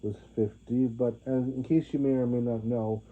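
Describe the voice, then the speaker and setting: A man in his twenties rambling to his viewers in a monologue, halting often with restarts and fillers. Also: medium pitch, steady tone. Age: 20s. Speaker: vlogger. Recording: phone-like narrow sound.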